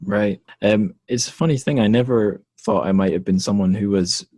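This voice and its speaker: A man from the United Kingdom hosting a podcast interview in a casual way, pausing often with fillers and restarts. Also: lowish voice, warm tone, dry recording. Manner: hesitant, many fillers and restarts. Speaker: podcast host.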